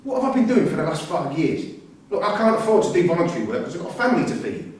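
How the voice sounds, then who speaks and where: An actor playing a defiant, quick-tempered prisoner and father, blunt and sweary, in a staged play reading. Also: high voice, sing-song, a little mellow. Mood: indignant. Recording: distant echoey hall with background noise.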